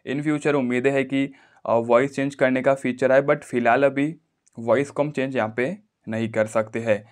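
Guy doing a casual phone tech tutorial, mid pitch voice, explains stuff step by step. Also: fairly even intonation, normal speed.

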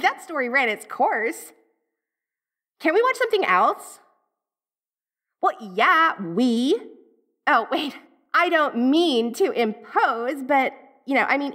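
A woman's voice: high-pitched, fairly bright tone. A woman playing a dorky tsundere ghost girl from the eighties in an audio roleplay, with an American valley-girl accent and a gushing, hesitant, slangy manner. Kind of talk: audio roleplay acting, speaking directly to the listener.

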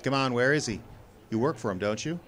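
An actor playing a cynical, wisecracking tough private detective in a radio drama, wry and clipped. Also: male voice, mid-range voice, fairly quick, fairly bright tone, close to an everyday voice. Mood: tough, needling. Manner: hard-boiled, wry, clipped. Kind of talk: old-time radio drama acting.

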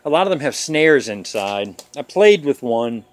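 A man's voice: mid-range voice, big pitch swings, unhurried. A man in his forties, talking in a jokey, rambling, self-deprecating way while filming himself on a phone in a suburban front yard. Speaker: vlogger. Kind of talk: casual rambling vlog. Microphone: phone camera at arm's length.

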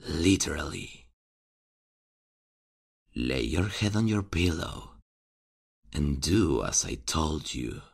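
Very deep gravelly guy, slow teasing menace, vigilante killer played by a man. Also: expressive. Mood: calm commanding. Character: calm, mocking vigilante killer who hides in the closet. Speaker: ASMR roleplay creator. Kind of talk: ASMR horror roleplay.

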